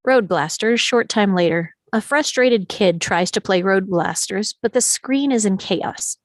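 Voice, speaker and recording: A woman narrates a screenplay at a table read, reading out the action. Her pitch is average and the recording is close and dry, with little room sound. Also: unhurried, no room sound. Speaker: narrator reading stage directions.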